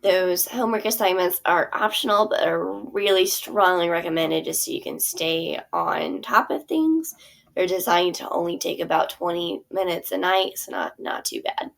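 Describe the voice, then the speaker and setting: A woman's voice: lowish voice, moderate pace, gravelly. A woman teacher casually walking through her theater curriculum, chatty and full of fillers. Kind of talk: casual walkthrough of a product.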